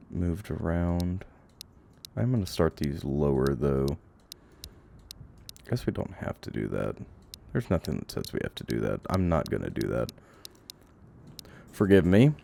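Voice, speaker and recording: Male game streamer, low creaky voice, casual and sweary, talks to himself; noisy recording. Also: dark tone. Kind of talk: casual gameplay commentary, thinking aloud. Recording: audible background noise, clicks.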